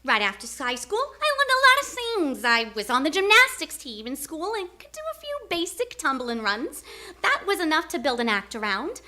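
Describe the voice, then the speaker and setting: A woman playing a feisty former circus acrobat with snappy, slangy, expressive delivery in a live radio drama. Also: high voice, normal speed, exaggerated voice. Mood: wistful, candid. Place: theater stage.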